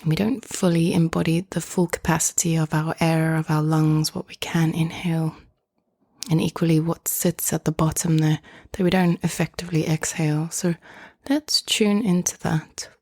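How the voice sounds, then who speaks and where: Soft, flat, hushed meditation guide woman. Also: deep voice, no room sound, studio quality. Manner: soft, hushed, often half-whispered delivery; gentle, inviting, unhurried phrasing.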